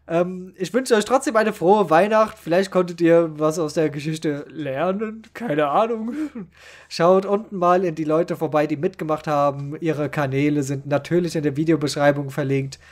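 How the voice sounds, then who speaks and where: A man narrates a comic first-person story about online gaming. His voice sits high for a man, and his wording is colloquial and full of slang. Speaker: storyteller and channel creator.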